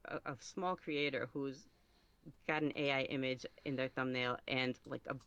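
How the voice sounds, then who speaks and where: A man giving casual podcast interview answers as a guest, halting and thinking aloud as he goes. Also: mid-range voice, vocal fry, fairly bright tone, no echo, muffled recording. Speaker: podcast guest, ASMR roleplay creator.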